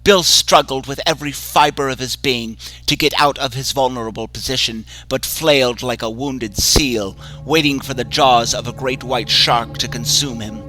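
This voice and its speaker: A man narrating a horror story in a measured, ominous way, over background music. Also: mid-range voice, sharp tone, rough recording. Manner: slow, measured, ominous delivery. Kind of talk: dramatic horror story reading. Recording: music bed under voice.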